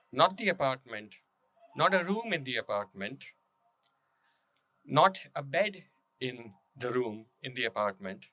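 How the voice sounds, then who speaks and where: Bright-voiced man telling stories to the public. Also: medium pitch, dry recording. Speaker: author and journalist speaking at a public reading. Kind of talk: public talk with prepared storytelling and read testimony.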